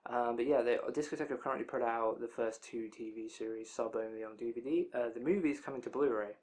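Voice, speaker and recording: A young man rambles through an anime news roundup, his voice tired and worn out from talking so long. He keeps it loose and chatty, drifting into side remarks at an ordinary, mid-range pitch. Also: quick pace, a little mellow. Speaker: anime release news vlogger.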